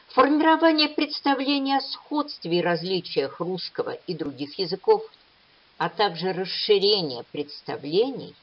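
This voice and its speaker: Lively-voiced woman giving an academic lecture. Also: lowish voice. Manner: measured, explanatory, lively intonation. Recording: dull lo-fi recording.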